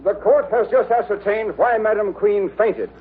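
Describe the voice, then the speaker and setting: High, husky courtroom judge. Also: male voice, normal speed. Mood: solemn. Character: formal, official male courtroom judge. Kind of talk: 1950s radio acting. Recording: tinny old radio broadcast.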